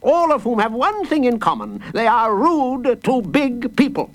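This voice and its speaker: Pompous old judge, high and blustering. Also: male voice, big pitch swings, unhurried, heavily acted. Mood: outraged, pompous. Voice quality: growling, gruff. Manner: pompous, stammering with indignation. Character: blustering, self-important old judge, easily outraged.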